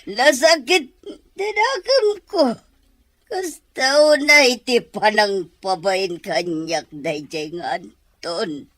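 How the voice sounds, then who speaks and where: A woman's voice: medium pitch, expressive, fairly bright tone, dry recording, studio quality. A woman with a Filipino accent playing a lovesick, imperious middle-aged madam in an Ilocano audio drama, with melodramatic delivery. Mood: tearful, indignant. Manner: melodramatic, drawn-out. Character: lovesick, imperious older madam, theatrical and wounded.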